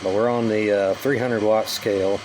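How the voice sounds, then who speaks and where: A man's voice: on the low side, steady tone, normal speed, noisy background. A man giving a casual hands-on demonstration, talking in a plainspoken, folksy way with informal grammar. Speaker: hobbyist presenter demonstrating radio gear.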